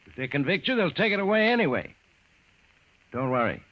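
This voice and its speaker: A crafty defense counsel in a vintage radio crime play. His lively, swooping delivery is emphatic and soothing by turns. The sound is muffled and old. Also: fairly high pitch, brisk. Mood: placating, scheming. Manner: emphatic, explaining, placating. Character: shrewd, defensive male defense lawyer to a gangster. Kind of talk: old-time radio crime drama acting.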